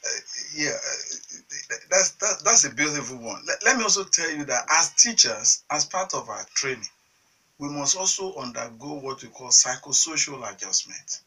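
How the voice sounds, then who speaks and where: A man's voice: on the high side, big pitch swings, moderate pace, sharp tone, breathy edge, far from the mic, rough recording. A Nigerian man, a teachers' association chairman, answering earnestly in a TV interview with many restarts and fillers.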